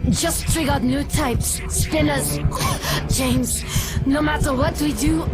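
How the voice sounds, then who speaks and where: A woman voicing a young woman possessed by an alien hive mind in a video game, halting and trance-like. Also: high-pitched, fairly even intonation, poor audio. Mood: eerie, urgent. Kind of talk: video game cutscene acting. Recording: loud music over the voice.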